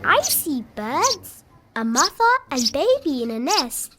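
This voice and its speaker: An excited little piglet girl played by a woman reader with a high, swooping voice. She is curious and chatty, like a storybook child. There is some background noise on the recording. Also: slow, exaggerated voice. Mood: excited discovery.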